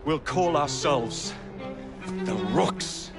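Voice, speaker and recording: An actor with a British accent playing a cocky, brash young assassin who fancies himself a gang leader, wry and swaggering, in a video game. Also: male voice, fairly high pitch, drawn-out words. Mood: cocky, grand. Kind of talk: video game cutscene acting. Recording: loud music and outdoor noise behind.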